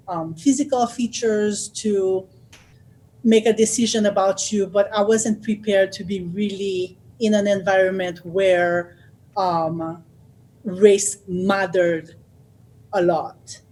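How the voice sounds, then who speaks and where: A woman from Haiti, telling her own story as a guest in a thoughtful way, often pausing with fillers and restarting. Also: mid-range voice, warm tone, low-quality recording. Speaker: author and guest speaker. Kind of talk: personal storytelling.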